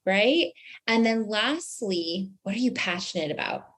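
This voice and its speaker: An American woman with a medium-pitched voice talks as a guest in an interview. She explains like a coach, leaning on key words and putting rhetorical questions to her listener. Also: expressive, unhurried, a bit bright. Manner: emphatic, asks rhetorical questions. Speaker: guest, personal branding coach.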